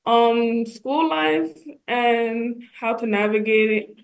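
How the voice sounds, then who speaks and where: A woman's voice: a little high, takes it slowly, poor audio. A young woman from the United States, a college student guest, giving casual, plain-spoken interview answers as she thinks aloud. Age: young adult.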